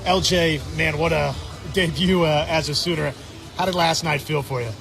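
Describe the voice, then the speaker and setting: Male pregame host, fairly high voice, sports radio interviewing, faint music behind. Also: fairly even intonation, normal speed, vocal fry.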